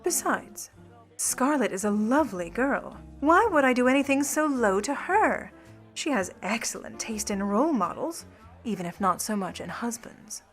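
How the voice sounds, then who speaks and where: Woman playing an arch, high-voiced scheming starlet. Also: very animated, normal speed, fairly bright tone, faint music behind. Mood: guarded, smooth. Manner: arch, syrupy politeness. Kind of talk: 1950 noir radio-play acting.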